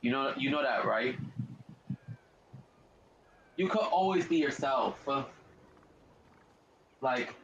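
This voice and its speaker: A man with a deep voice giving casual let's-play commentary as a gaming streamer, rambling and repeating himself with slang. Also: animated, slow pace, long pauses, a bit bright, roomy sound, highs cut off, a little hiss or hum.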